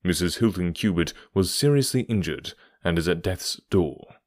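Guy doing calm bossy detective for an audiobook, very low voice, assured n stern, dry sound. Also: normal speed, dry recording. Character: calm, authoritative, stern male English detective.

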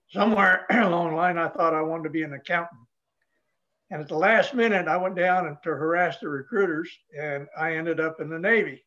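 A man's voice: on the high side, a bit bright, highs cut off. An older man from New Hampshire, a retired Navy leader, telling stories casually as an interview guest in a folksy, plain-spoken way. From New Hampshire, United States. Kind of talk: casual storytelling.

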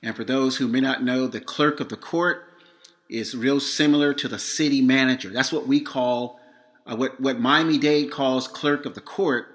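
A middle-aged man from Florida, slightly hoarse, hosting his podcast in a measured monologue read from notes with some fillers. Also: mid-range voice, fairly even intonation, a bit bright. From Florida, USA. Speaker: podcast host.

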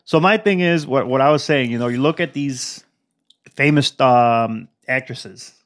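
Young man, relaxed podcast co-host, slightly congested, easy code-switching delivery. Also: medium pitch, a little slow. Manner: relaxed, drops Spanish phrases into English. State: still congested, clearing throat. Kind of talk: casual podcast chat.

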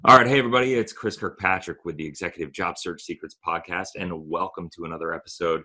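Man, deep voice, hoarse with a cold, podcast host, earnest coaching talk. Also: quick pace, bright, forceful tone, faint music behind. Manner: earnest coaching tone, frequent restarts.

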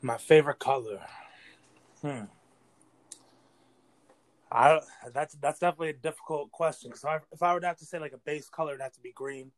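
A man's voice: on the high side, fairly quick, pauses often. An eighteen-year-old American man from New York State talking casually as an interview guest, earnest and rambling, with plenty of slang. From New York State, United States. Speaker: interview guest, battle rapper.

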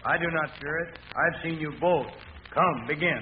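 A man's voice: a little high, moderate pace, lightly acted. A man playing a stately king in an amateur radio Shakespeare scene. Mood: commanding. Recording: muffled, noisy old radio.

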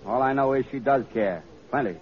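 A man's voice: medium pitch, brisk, close to an everyday voice. An actor playing an eager, worried henchman with an American accent, slangy and deferential in an old radio drama. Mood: worried insistence. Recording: muffled old radio recording.

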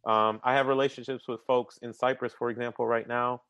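A man's voice: medium pitch, flat delivery, fairly quick, fairly bright tone, dry recording. A man talking casually and energetically as an interview guest, often repeating words as he starts sentences and using slang like "man" and "bruh". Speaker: podcast guest.

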